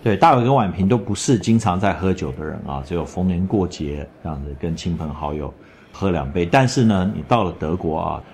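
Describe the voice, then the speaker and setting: A middle-aged man, chatting in a travel vlog voice-over and telling stories in an animated way. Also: low-pitched, a little fast, a little hiss or hum. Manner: chatty, animated storytelling with laughs. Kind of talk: casual travel commentary voice-over.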